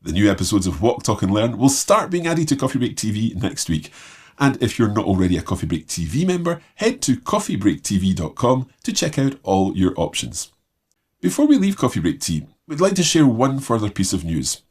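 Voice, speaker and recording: A man hosting and presenting scripted announcements in a clearly enunciated presenter style. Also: lowish voice, sing-song, moderate pace, a little mellow. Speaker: host.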